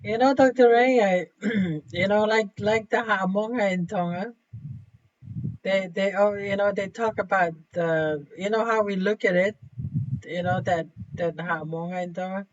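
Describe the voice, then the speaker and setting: A woman's voice: medium pitch, a little slow, dry recording, muffled recording. A woman co-hosting a casual discussion, chatty and full of fillers. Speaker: co-host.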